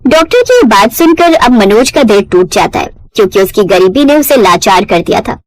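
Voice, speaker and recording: A woman's voice: mid-range voice, sing-song, moderate pace, poor audio. A woman narrating a scripted moral story in a dramatic storytelling style.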